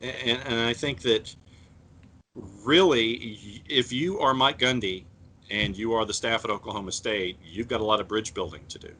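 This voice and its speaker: A man with a lower, bright, forceful voice talks through sports news as a podcast co-host. He speaks in a measured way, piling up fillers and restarting his thoughts. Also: a little hiss or hum. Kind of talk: casual sports talk.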